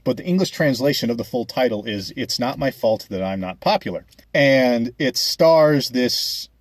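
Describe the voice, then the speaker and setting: A man's voice: medium pitch, lively intonation, normal speed, no room sound. A young adult man talking casually over a speedpaint video, chatty and rambling with many fillers. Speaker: animator and vlogger.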